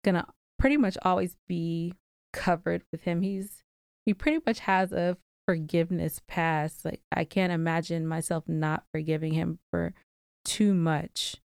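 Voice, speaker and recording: A woman's voice: on the low side, a little slow, gravelly, a little mellow, smooth, no room sound. Candid woman on a dry close mic. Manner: candid, reflective, bursts into playful laughter. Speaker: podcast co-host. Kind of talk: casual chat.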